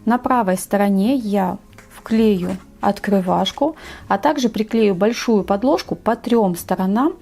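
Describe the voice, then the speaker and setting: A woman narrating a step-by-step craft tutorial in a measured, explanatory way. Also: mid-range voice, creaky, dark tone, slightly rough.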